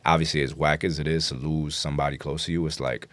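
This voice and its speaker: A man's voice: very deep voice, little pitch movement, quick pace, fairly bright tone, no echo. A man with a New York accent chatting casually as an interview guest, telling stories emphatically and with lots of slang. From New York City. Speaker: guest rapper.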